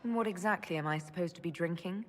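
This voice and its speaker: An actress playing a modest, composed heroine and leader in a video game, sincere and understated with a dry touch. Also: female voice, on the low side, fast talker, natural voice. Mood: wry. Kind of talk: dramatic video game dialogue.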